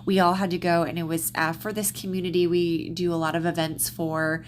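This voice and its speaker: Casting: Southern woman, rambling planner vlogger, slightly stuffy nose, lowish voice, faint hum. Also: flat delivery, brisk. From Texas, USA. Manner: chatty, rambling, many ums and restarts. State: slightly congested. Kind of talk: casual plan-with-me voiceover.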